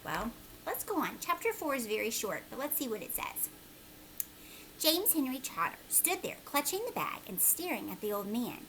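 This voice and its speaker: Woman, teacher, children's read-aloud, higher pitch, expressive, questioning, conversational, noisy background. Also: normal speed.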